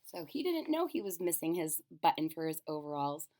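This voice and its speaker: Animated woman reading a children's story. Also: low-pitched, normal speed. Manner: simple, child-directed explanations. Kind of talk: animated children's read-aloud with explanatory asides.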